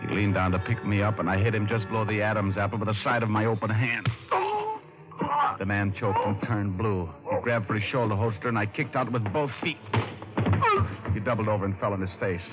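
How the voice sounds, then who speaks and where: An actor playing a terse, world-weary private detective in an old-time radio crime drama. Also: male voice, lowish voice, fairly even intonation, a little fast, natural voice. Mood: grim, dazed. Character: hard-boiled male private detective narrating his own story. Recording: muffled old radio recording with music.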